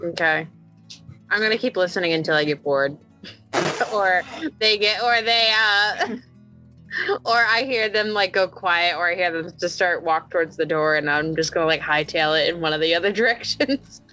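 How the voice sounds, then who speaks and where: A woman's voice: on the low side, normal speed, pushed and bright, poor audio. A playful woman chatting colloquially as a player in a tabletop roleplaying game. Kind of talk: casual animated game chat. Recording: music playing under the voice.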